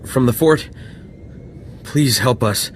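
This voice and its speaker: An actor playing a desperate, sick villager and devoted husband in a video game, emotional and faltering. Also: male voice, medium pitch, slow pace, a little hiss or hum, lightly acted. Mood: desperate pleading. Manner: pleading, stammering, emotional. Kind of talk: video game dialogue acting.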